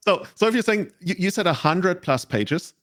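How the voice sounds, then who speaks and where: A man's voice: high voice, lively intonation, normal speed, a bit bright. A man co-hosting a tournament interview, joking casually and stumbling over his restarts. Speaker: co-host.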